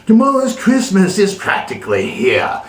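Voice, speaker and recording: A sour old storybook Grinch acted out by a man snarls and schemes with theatrical menace. The voice swings widely in pitch and sits fairly high. It echoes in a room, some way from the mic. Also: wildly swooping pitch, moderate pace. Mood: sneering. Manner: snarling, exaggerated storybook villain delivery. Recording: roomy echo, distant mic.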